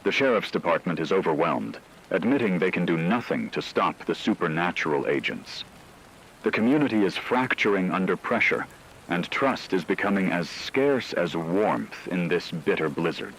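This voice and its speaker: A man playing a grave radio reporter, delivering scripted horror news in a solemn, measured, ominous way. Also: deep voice, lively intonation, a bit bright, a little hiss or hum, low-quality recording.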